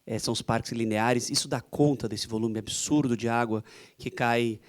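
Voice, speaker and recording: A man's voice: medium pitch, fairly even intonation, normal speed. A man with a Brazilian accent moderating a forum panel in a conversational way, stringing one question after another. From Santos, Brazil. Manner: inquisitive, strings questions together.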